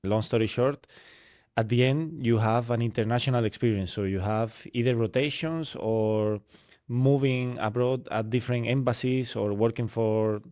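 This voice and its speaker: A man's voice: medium pitch, a little mellow. A man hosting a podcast, chatty, summing up what his guest said and asking long follow-up questions. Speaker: podcast host. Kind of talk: casual interview hosting.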